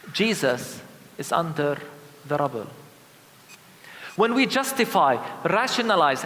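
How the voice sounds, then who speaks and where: Slow, solemn male preacher in reverberant hall. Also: high voice, fairly bright tone. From Palestine. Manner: solemn, emphatic, deliberate repeated phrasing. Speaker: pastor. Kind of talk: sermon, liturgy of lament. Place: large indoor church. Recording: reverberant church hall sound.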